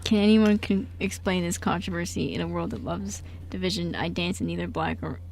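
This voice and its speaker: A woman's voice: on the low side, animated, a little fast, darkish tone, rough recording. A young woman hosting casually, introducing readings in an informal, chummy way with her co-hosts. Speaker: radio show host.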